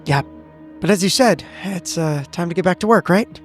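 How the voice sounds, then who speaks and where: A man improvising in character as a tabletop game player, speaking in a measured, analytical way. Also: high voice. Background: background music.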